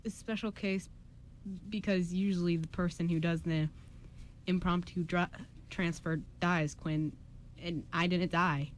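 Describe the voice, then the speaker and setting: A woman playing an earnest, hesitant male time-traveling mentor in an amateur radio play, with a halting, friendly manner. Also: fairly low pitch, steady tone, a little hiss or hum, natural voice. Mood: somber, explaining.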